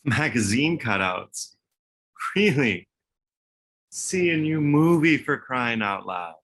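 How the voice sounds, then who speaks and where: A man's voice: medium pitch, big pitch swings, takes it slowly, fairly bright tone, slight background noise, full character voice. A man playing a laid-back, mildly exasperated forensic evidence technician in a comedy table read, dry and a little hesitant. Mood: incredulous.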